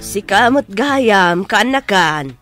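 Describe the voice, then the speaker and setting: Middle-aged aunt, unhurried, mid pitch, kindly, soothing, reassuring, radio drama. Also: female voice, expressive, fairly bright tone, faint music behind. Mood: concerned, soothing.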